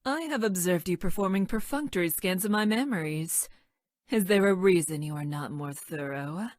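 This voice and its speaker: A woman voicing a stranded time-traveling scientist in a video game, clinical and detached, speaking in stilted, formal, technical language with a deadpan delivery. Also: lowish voice, moderate pace, no echo, clean studio sound, close to an everyday voice. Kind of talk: scripted video game character lines.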